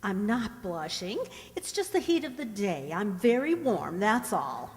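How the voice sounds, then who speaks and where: A woman's voice: mid-range voice, very animated, a little slow. A woman playing a dreamy, earnest seventeen-year-old country girl in a radio drama, with a wistful, musing manner. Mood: shy, flustered. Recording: hall-like long reverb.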